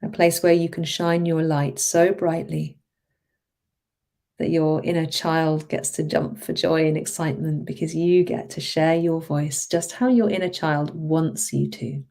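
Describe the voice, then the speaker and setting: A woman speaking as a hypnotherapist, leading a guided relaxation in a soothing, encouraging manner with drawn-out phrases. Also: deep voice, fairly even intonation, gravelly, mellow. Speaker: hypnotherapist guiding a relaxation session.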